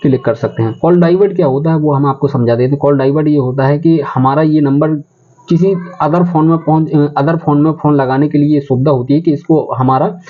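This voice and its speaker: A man's voice: a little high, brisk. A man giving a step-by-step tutorial in an explanatory way, repeating his instructions. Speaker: tech tutorial presenter. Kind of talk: step-by-step phone settings tutorial. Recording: muffled phone-like audio, background chatter.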